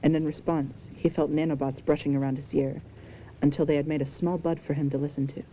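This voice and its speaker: A woman's voice: sits low, level tone, brisk, dark tone, poor audio. A woman narrator reading scripted fan fiction as an audio story. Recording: faint background noise and ambience.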